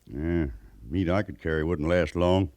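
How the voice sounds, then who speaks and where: Low, folksy old-west mountain man. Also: steady tone, measured pace, darkish tone, no echo. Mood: weary, rueful. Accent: American. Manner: folksy frontier drawl, rustic grammar. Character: weathered mountain man scout, plainspoken, living among the Arapaho. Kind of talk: 1950s western radio drama acting.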